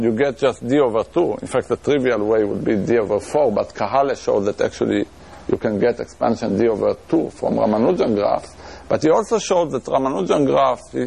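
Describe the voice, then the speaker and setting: Man, Israeli accent, middle-aged, gravelly and husky voice, lecturing, restarts and fillers. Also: mid-range voice, darkish tone. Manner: thinking aloud with frequent restarts and fillers, occasional chuckles. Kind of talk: academic lecture on math. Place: lecture room.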